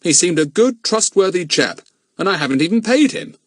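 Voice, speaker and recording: A man voicing a calm, practical grown man who speaks firmly and reasons things out. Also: sits high, dry recording, voice pushed up. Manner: firm, reasoning, brisk.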